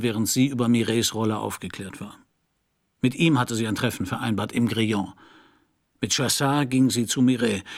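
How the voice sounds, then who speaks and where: An actor playing a broke, world-weary private detective, narrating and speaking in a subdued, melancholy, gravelly voice in a noir radio drama. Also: male voice, medium pitch, lightly acted. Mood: explaining. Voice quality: gravelly, husky. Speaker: narrating protagonist.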